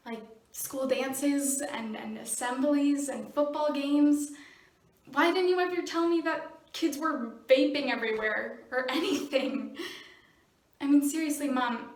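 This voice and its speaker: A young American woman playing an earnest, halting teenage daughter pleading with her mother in a dramatic monologue. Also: high-pitched. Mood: frustrated, pleading. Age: teenager or young adult. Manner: halting, earnest, nervous laughs. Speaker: student actor. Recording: roomy echo, distant microphone.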